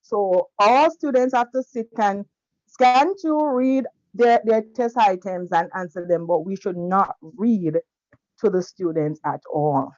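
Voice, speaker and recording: A woman's voice: mid-range voice, slow pace, darkish tone, no echo, highs cut off. A woman teacher who plainly explains her school's testing rules. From Jamaica.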